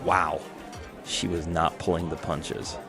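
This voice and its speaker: Low-voiced man narrating a story. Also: level tone, takes it slowly, music in the background, crowd noise. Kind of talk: scripted fan fiction narration.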